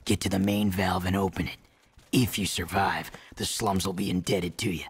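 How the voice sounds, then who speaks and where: Gruff sarcastic rebel leader. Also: male voice, low-pitched, steady tone, normal speed, full character voice.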